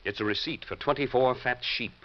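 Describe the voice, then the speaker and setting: An actor playing a fussy, exasperated insurance manager in a 1950s radio drama. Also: male voice, mid-range voice, brisk, lightly acted. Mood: dry, explaining. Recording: dull vintage radio recording.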